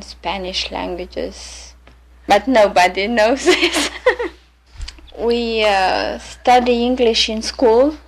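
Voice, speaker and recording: A woman from Romania, a native Romanian speaker with a Romanian accent, talking about herself in English in a hesitant, halting way with many pauses and fillers. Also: mid-range voice, creaky, fairly bright tone, rough recording. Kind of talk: unscripted personal monologue for a listening exercise.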